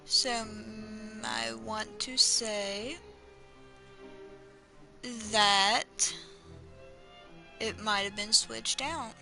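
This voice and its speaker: A woman casually commentates a game she is playing. Her voice is bright and forward, and she thinks aloud at a slow, halting pace with soft game music behind her. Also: fairly high pitch, steady tone, gravelly. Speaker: gamer commentating a playthrough.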